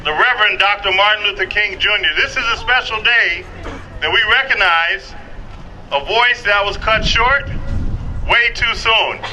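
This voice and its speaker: A man's voice: sits high, normal speed, pushed and bright, rough recording. A man speaking formally as host, opening a public ceremony at a microphone. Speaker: event host. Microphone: event microphone. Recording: faint noise, outdoor public microphone.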